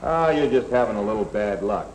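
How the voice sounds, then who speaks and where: A man's voice: lowish voice, animated, brisk, darkish tone, close to an everyday voice. A man playing a patient, plain-spoken middle-aged school coach in a 1950s educational film, explaining things earnestly. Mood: reassuring. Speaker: coach and narrator. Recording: echoey, noisy 1950s film soundtrack.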